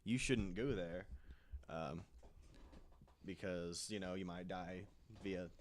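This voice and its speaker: A man's voice: low-pitched, fairly even intonation, takes it slowly, a little hiss or hum. A man talking casually as a podcast panelist, musing aloud in an informal way. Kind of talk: casual chat.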